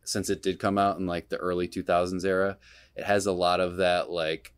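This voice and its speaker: A man's voice: low voice, little pitch movement, brisk, smooth. A young man chatting casually about video games as a podcast host, in a rambling way full of hedges.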